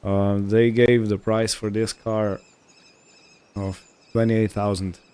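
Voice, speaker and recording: A man hosting a roleplay gaming series, narrating his play in character in a casual, improvised way with halting short phrases. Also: lowish voice, little pitch movement, mellow, smooth.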